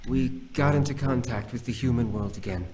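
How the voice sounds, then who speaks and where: An actor playing a quiet, curious young demon prince in a visual novel, thoughtful and hopeful. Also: male voice, medium pitch, moderate pace, far from the mic, crowd noise, lightly acted. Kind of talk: visual novel character acting.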